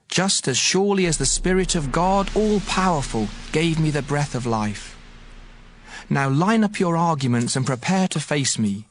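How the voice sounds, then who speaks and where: Higher-pitched, earnest young man rebuking his elders. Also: a little hiss or hum. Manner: emphatic, declamatory, admonishing. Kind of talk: dramatized audio Bible speech. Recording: music behind the voice.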